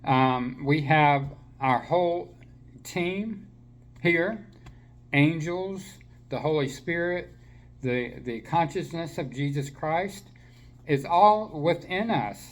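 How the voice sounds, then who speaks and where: A man giving a slow, gentle spiritual talk to listeners while he has a slight cold, with many fillers and restarts. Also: fairly high pitch. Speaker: spiritual teacher.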